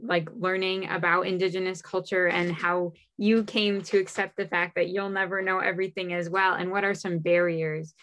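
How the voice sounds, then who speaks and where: A young woman speaks with eager enthusiasm, pausing often on fillers and restarting her sentences. Her voice sits a little low for a woman. The tone is casual and conversational. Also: flat delivery, fairly bright tone, poor audio.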